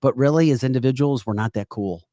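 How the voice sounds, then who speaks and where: A man's voice: medium pitch, fast talker, warm tone, no echo, clean studio sound. A man co-hosting a political talk podcast, speaking bluntly and emphatically and repeating points for effect. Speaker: podcast co-host. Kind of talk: political talk show chat.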